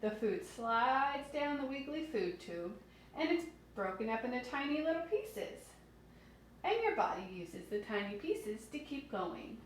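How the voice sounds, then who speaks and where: A woman's voice: sits high, very animated, unhurried. A woman reading aloud to young children as a teacher, expressively explaining things simply and putting questions to her listeners. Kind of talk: children's read-aloud lesson with asides. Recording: very echoey room with faint noise.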